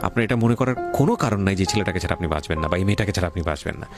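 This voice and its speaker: Lively, fast-talking Bangladeshi male radio host. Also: low-pitched, music in the background. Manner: moralizing, emphatic, repeats points, slips in English phrases. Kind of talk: advice-giving interview on a life-story radio show.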